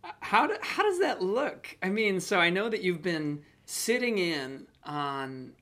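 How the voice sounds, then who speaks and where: Podcast host, medium pitch, casual interview style, thoughtful, conversational. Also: very animated, measured pace, pushed and bright.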